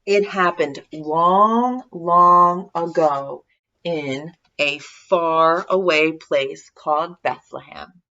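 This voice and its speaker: Low-pitched woman reading a children's story. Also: extremely slow, sharp tone, lo-fi sound. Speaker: storybook reader. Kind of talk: expressive scripted children's story narration.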